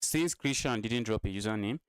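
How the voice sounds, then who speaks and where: A man's voice: fairly low pitch, moderate pace, clear, resonant tone, dry recording. A man in his twenties giving casual live-stream chess commentary, talking to his viewers and repeating his own phrases. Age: 20s.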